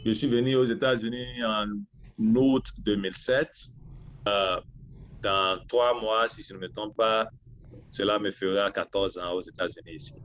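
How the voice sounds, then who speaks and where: A man in his forties from Benin with a Beninese accent, answering interview questions in a halting, deliberate way. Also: lowish voice, fairly even intonation, fairly bright tone, highs cut off. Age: 40s. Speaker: interview guest, lawyer.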